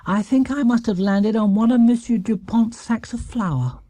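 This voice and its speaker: Little storybook bear acted by a man, high voice, polite, worried and very earnest. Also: normal speed, warm tone, dry recording, close to an everyday voice. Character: small polite earnest young male bear.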